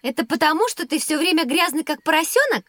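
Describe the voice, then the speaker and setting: A woman's voice: sits high, expressive, fast talker, pushed and bright, no room sound. A woman playing a curious, playful young girl who likes to show what she knows, in a scripted children's audio drama. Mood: teasing. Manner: inquisitive, playful, know-it-all.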